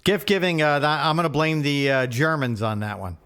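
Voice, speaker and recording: A man's voice: on the high side, a little fast, a bit bright, clean tone. A man co-hosting a podcast, chatting casually with a dry, teasing manner. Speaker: podcast co-host. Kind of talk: casual conversational chat.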